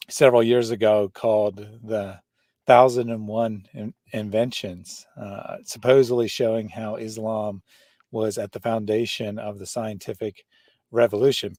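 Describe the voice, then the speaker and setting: A man's voice: fairly low pitch, a little mellow, no echo. A man speaking as a guest in a casual discussion, blunt and emphatic.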